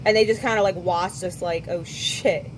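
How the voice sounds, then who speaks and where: A young woman with a hoarse voice from singing at a concert, hosting a podcast and talking casually with quick asides and self-corrections. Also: on the low side, fairly even intonation, slight background noise, low-quality recording. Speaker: podcast host. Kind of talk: casual chat recounting a concert.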